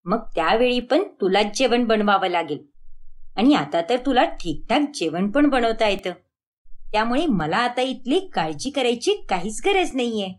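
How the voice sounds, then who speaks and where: A woman's voice: fairly high pitch, sing-song, moderate pace, voice pushed down. A woman voicing a strict, exasperated middle-aged mother in a cartoon moral story, with an emphatic scolding manner. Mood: satisfied.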